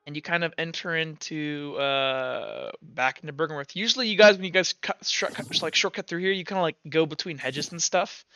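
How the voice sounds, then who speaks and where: Young male game master with a high, bright voice. Rambly and casual, dry close mic. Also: clear, resonant tone, no echo. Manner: chatty, stumbling, quick asides with frequent fillers. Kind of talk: casual tabletop game chat.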